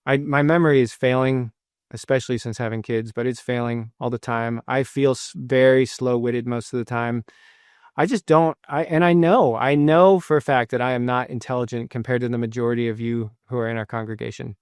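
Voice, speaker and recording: A man's voice: mid-range voice, steady tone, a little fast, darkish tone, clear, resonant tone, no echo, clean studio sound. A man in his thirties, a pastor giving an earnest sermon with self-deprecating personal stories, sometimes retelling scripture in the first person.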